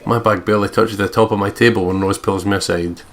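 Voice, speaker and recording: Low-voiced man reading game narration. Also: steady tone, quick pace, a bit bright. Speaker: let's play co-host. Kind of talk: reading game narration aloud with joking asides.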